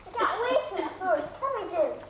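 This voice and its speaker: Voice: extremely high pitch, lively intonation, a little fast, slight background noise. A young performer playing an eager child with an Irish accent on a theatre stage. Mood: chirpy. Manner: chirpy, eager. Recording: echoey theatre hall.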